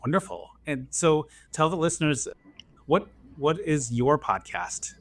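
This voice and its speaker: A man's voice: on the high side, sing-song, unhurried, a bit bright, no room sound. Enthusiastic male podcast host. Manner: enthusiastic, announcer-like in the intro. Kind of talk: upbeat scripted intro and interview questions.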